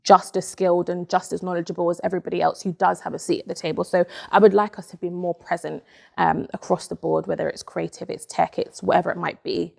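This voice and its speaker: A young woman from Nigeria, an interview guest in a dark studio, speaking earnestly and with self-assurance on a lapel mic. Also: fairly low pitch, flat delivery, fast talker, gravelly. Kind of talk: casual interview chat. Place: dark studio set, indoors.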